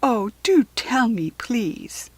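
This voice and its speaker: A woman acts out a mother kangaroo from a children's storybook, sad and earnest. Her voice is husky and swings up and down as she pleads, close and dry. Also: on the high side, sing-song, very slow, dry recording, exaggerated voice. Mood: tearful pleading.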